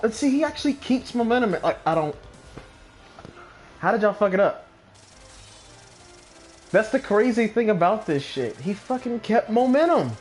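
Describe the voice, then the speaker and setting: A man's voice: extremely high pitch, darkish tone. A young adult man streaming a video game with casual, profane, slangy commentary. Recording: game music behind the voice.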